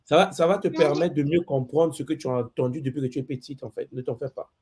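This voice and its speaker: A man hosting a spiritual talk show, calmly reassuring a caller and calling her maman. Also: fairly high pitch, fast, very noisy.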